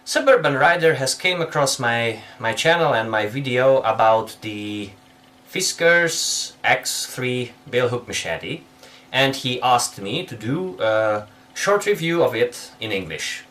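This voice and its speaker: Young Hungarian man, lively careful English. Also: mid-range voice. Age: young adult. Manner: careful, halting English with restarts. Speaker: guest reviewer. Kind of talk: product review. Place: indoors, small room with patterned wall.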